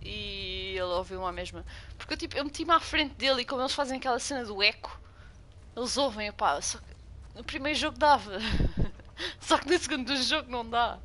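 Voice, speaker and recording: A woman's voice: on the high side, brisk, pushed and bright, a little hiss or hum. A young woman from Portugal with a strong European Portuguese accent, streaming a game and chatting with viewers. She gets jumpy and swears when startled. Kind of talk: casual gameplay commentary.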